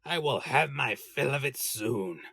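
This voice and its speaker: A man voicing a sly, coaxing old witch woman for a storybook, with a raspy, drawn-out delivery. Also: expressive, sharp tone, no room sound, clean studio sound, full character voice. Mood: sly, sinister. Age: older. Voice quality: creaky, raspy falsetto. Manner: slow, drawn-out, cackling. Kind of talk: storybook character voice.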